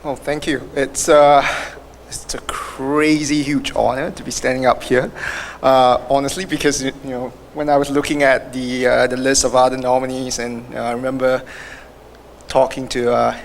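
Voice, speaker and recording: A man in his thirties from Singapore, with a Singaporean accent, giving a halting acceptance speech full of fillers and restarts in an event hall. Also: medium pitch, flat delivery, creaky. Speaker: award recipient. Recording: echoey hall with faint noise.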